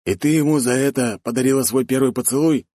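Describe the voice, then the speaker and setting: A man's voice: medium pitch, quick pace, warm tone, dry recording, voice pushed up, close to an everyday voice. Man acting middle-aged count, authoritative, stern, protective, fantasy audiobook, dry sound. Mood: probing, suspicious. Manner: authoritative, commanding.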